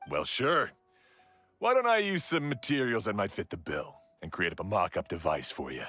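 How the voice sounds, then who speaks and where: A man playing a proud, exacting master blacksmith in a video game, speaking in a measured, formal and earnest way. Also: fairly low pitch, sing-song, pushed and bright, heavily acted. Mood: obliging. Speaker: blacksmith character. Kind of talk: scripted video game dialogue. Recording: music behind the voice.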